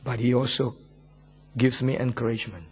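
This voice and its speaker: Husky preacher with an Indian accent. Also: male voice, medium pitch, fairly even intonation, normal speed. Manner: humble, earnest, chuckles often. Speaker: pastor. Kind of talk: sermon, personal testimony.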